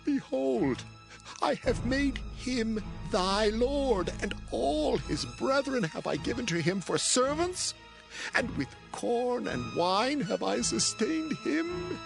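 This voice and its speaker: Slow, solemn old patriarch. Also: male voice, very high voice, very animated, vocal fry, over music, heavily acted, low-quality recording. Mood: shaken, grieved. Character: aged patriarch father, solemn, trembling, blessing his son. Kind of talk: dramatized scripture reading.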